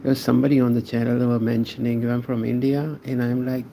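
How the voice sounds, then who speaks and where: A man's voice: mid-range voice, flat delivery, fast talker, gravelly, dark tone, a little hiss or hum. A man from Pakistan, chatting casually with viewers while he draws on a livestream, answering their comments in a laid-back way. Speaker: art livestreamer.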